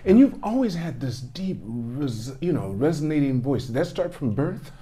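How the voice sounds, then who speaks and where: A man's voice: mid-range voice, sing-song, moderate pace, crowd noise. An American man in his seventies, hosting a casual interview podcast and chatting with his guest. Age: 70s. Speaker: podcast host.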